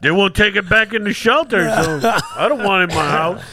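A man co-hosting a podcast, telling profane stories in casual banter and bursting into loud laughter. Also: sits high, expressive, brisk, a crowd in the background. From United States. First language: English. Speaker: podcast co-host.

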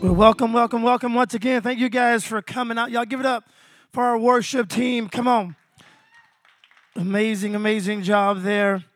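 Pastor, 50, hoarse and losing his voice, high-pitched, dry close sound, energetic preaching. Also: normal speed, dry recording, soft background music. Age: 50s. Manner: energetic, calls out to congregation. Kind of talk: sermon. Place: small venue with congregation.

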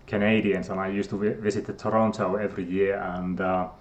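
A man's voice: deep voice, flat delivery, a little fast, dull audio. A middle-aged man from Finland with a Finnish accent, a guest scientist who talks hesitantly with many fillers and restarts. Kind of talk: casual interview talk.

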